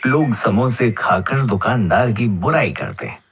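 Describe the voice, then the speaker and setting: A man's voice: fairly low pitch, animated, measured pace, fairly bright tone, dull audio, slight background noise. A man narrating a scripted cartoon moral story. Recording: faint background music under the voice.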